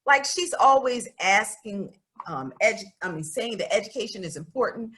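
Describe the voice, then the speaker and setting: A woman's voice: mid-range voice, lively intonation, normal speed, a bit bright, lightly acted. A woman voicing an outspoken, stubborn young schoolgirl in a storybook, reading her letters aloud in a candid, folksy, emphatic way. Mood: earnest, questioning. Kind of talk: expressive storybook read-aloud in first person.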